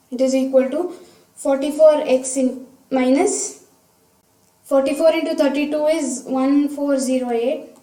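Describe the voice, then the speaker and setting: Female teacher, high soft-toned voice, methodical step-by-step delivery, recorded at a distance from the mic. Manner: methodical, talking through each step aloud. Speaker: science teacher. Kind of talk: step-by-step worked problem explanation. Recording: roomy echo, distant microphone.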